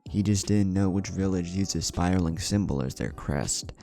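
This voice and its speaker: Very deep, gravelly male storyteller. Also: moderate pace, mellow. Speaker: story narrator. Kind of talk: dramatic scripted fan fiction narration. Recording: background music under the voice. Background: music.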